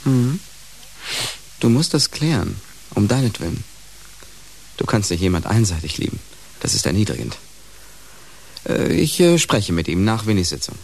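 An insecure, secretive radio-play character in a very low, gravelly voice. He is halting and hesitant, with lively intonation. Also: slight background noise. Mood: reluctant, concerned. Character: insecure, secretive man in hopeless love with his doctor. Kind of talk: radio drama acting, with inner monologue.

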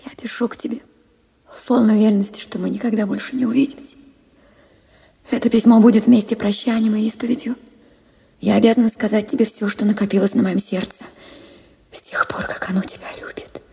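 An actress playing a heartbroken married woman reading her farewell letter to a lover in a radio drama, in a hushed, slow, confessional way. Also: medium pitch, fairly even intonation, vocal fry, close to an everyday voice. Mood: sorrowful, resigned. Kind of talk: Russian literary radio drama, letter monologue. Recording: music under the voice. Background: music.

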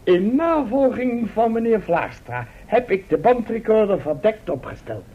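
An actor playing a cocky but dim-witted comic sidekick in a radio drama, cheeky and bumbling. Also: male voice, high-pitched, big pitch swings, unhurried, slightly rough, full character voice. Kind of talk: Dutch radio drama acting. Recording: muffled old radio recording.

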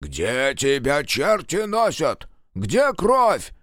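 A man's voice: fairly high pitch, huge pitch swings, drawn-out words, a bit bright, dry recording, clean studio sound, voice pushed up, heavily acted. A man voicing a bossy, scheming old grandfather with a crude, commanding manner in an audiobook. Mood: gruff, demanding.

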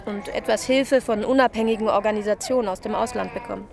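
Woman playing a quick, creaky worried mother. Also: mid-range voice, fairly even intonation, crowd noise, close to an everyday voice. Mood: earnest, worried. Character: worried, earnest young Greek mother. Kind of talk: radio drama acting.

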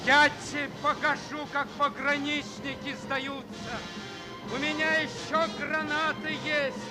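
A hot-blooded soldier in an old Soviet radio war play. His voice is strained very high and declamatory, with music behind it. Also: flat delivery, slow pace. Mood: defiant, resolute. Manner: declamatory battle shouting. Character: defiant, hot-blooded male Soviet border guard in battle. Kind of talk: 1950s Soviet radio drama acting.

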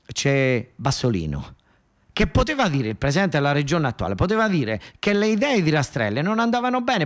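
A man with a southern Italian accent performing a radio theatre monologue as a folksy storyteller, emphatic and repetitive in a colloquial way. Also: fairly high pitch. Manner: repetitive, emphatic, rambling folk-storyteller delivery.

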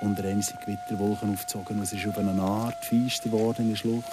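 A man's voice: on the low side, little pitch movement, fast talker, lightly acted. An actor playing an insinuating paroled convict in his thirties in a radio drama, speaking Swiss German with a probing, mock-understanding manner. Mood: insinuating, narrating. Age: 30s. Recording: music behind the voice.

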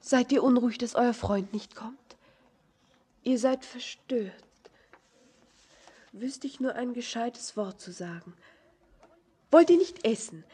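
A sheltered, anxious young village girl in a poetic radio drama. Her voice is very breathy and soft-toned, and she speaks slowly, with tender, hesitant earnestness. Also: fairly high pitch, expressive, full character voice. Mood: concerned, inviting. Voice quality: breathy, hushed. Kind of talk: poetic German radio play acting.

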